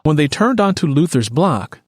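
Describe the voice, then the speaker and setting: A man's voice: on the high side, sing-song, normal speed, noisy background. A man narrating scripted fiction as a storyteller.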